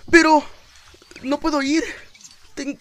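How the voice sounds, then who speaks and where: A woman voicing an anxious animal villager and parent in an animated fan dub, pleading and faltering. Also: sits high, slow.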